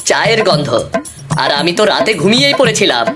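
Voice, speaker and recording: A woman's voice: fairly low pitch, big pitch swings, fairly quick, over loud music. A woman voicing a wrongly accused village husband in a comic cartoon, protesting in an aggrieved, defensive way. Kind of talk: comic animated story dialogue.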